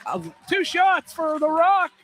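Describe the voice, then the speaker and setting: Male play-by-play announcer for live college basketball, very high-pitched voice over a clearly noisy gym broadcast. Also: big pitch swings, a little slow, fairly bright tone, some chatter behind. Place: college field house during a game.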